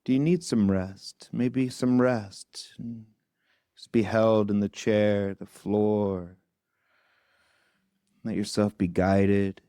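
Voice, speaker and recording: A man with a low, mellow voice guides a meditation in a soft, gentle manner. He speaks very slowly and keeps his pitch very even, leaving long pauses between short phrases. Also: clear, resonant tone, no echo, clean studio sound. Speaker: meditation teacher.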